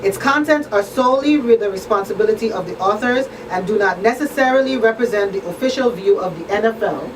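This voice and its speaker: A woman's voice: medium pitch, fast talker, hardly any pauses. A woman hosting a community radio talk show, speaking earnestly and repeating her points for emphasis. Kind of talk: casual panel chat. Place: radio studio. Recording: distant mic with clear background noise.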